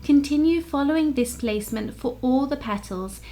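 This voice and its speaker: A woman with a fairly high-pitched voice narrates a scripted how-to for crafters. She explains things step by step, in an instructional style that is easy to follow. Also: moderate pace, mellow. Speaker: craft tutorial presenter.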